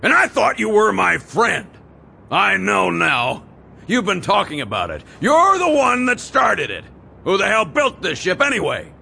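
A big, bullying aircraft boss in his forties bellows in a high, wildly swooping voice with a gravelly edge. His tone is bright and forceful, and every line comes out as an indignant roar. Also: huge pitch swings, unhurried, a little hiss or hum, exaggerated voice. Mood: furious blustering. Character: big blustering 46-year-old male aircraft boss.

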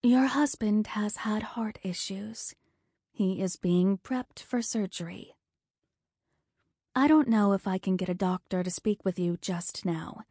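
A woman doing a hushed, comforting nurse. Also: mid-range voice, slow pace, few pauses, husky, no room sound, clean studio sound. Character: kind nurse, quiet and comforting.

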